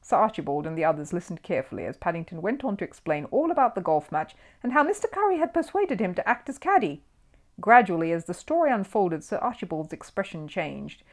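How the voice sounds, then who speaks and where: A woman narrates a children's story in an expressive storytelling style. Her voice sits a little low, and its pitch rises and falls in a lively way. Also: brisk.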